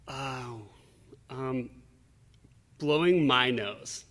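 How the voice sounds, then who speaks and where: A man's voice: mid-range voice, drawn-out words, a bit bright. A young adult man speaking casually and conversationally as a guest in an interview. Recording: long reverberant hall echo.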